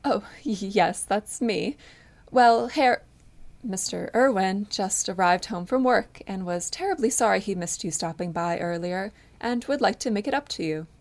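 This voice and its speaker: A woman's voice: mid-range voice, unhurried, lightly acted. A woman playing a proper, hesitant 1950s housewife, eager to please, in an audio drama.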